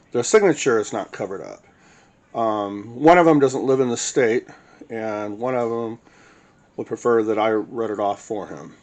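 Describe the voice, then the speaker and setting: A man reading witness statements aloud as the narrator of his own recording. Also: mid-range voice.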